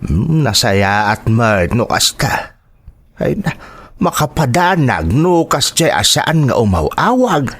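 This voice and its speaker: An actor playing a concerned, gentle and thrifty elderly father in an Ilocano radio drama, with sighing, admonishing talk. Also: medium pitch, big pitch swings, slow, exaggerated voice.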